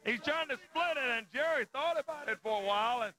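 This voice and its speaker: Brief: man, wrestling TV host, high bright voice, folksy and promotional. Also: fast talker. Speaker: wrestling TV announcer. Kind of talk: live wrestling TV commentary.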